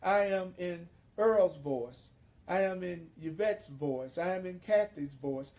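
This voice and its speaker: A man giving an inspirational spiritual sermon, speaking emphatically and deliberately with repeated phrases. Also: sits high, darkish tone. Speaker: spiritual teacher leading a course.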